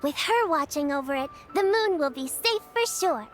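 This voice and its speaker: A woman's voice: high-pitched, lively intonation, moderate pace, soft background music. A woman voicing a cheerful, caring young girl in a video game, patiently explaining things in a singsong, reassuring way. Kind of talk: video game character dialogue.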